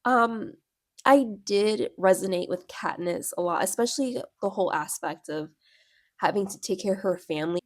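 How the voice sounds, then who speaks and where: A young woman talks in a loose, rambling way, full of "like" and trailing restarts, her voice a little stuffy from a cold. She sits close to a small personal mic in a dry-sounding room, her pitch in an ordinary middle range. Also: darkish tone, no echo. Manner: rambling, filler-heavy, lots of "like". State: stuffy nose from a cold and allergies. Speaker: book vlogger. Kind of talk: casual chatty reading vlog. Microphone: clip-on or small personal microphone.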